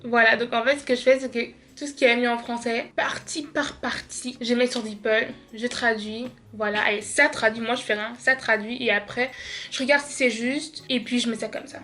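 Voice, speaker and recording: A woman's voice: a little high, steady tone, moderate pace, fairly bright tone. A young woman from Belgium vlogging casually at home, tired and chatty. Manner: chatty, tired, says genre a lot. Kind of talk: casual vlog chat.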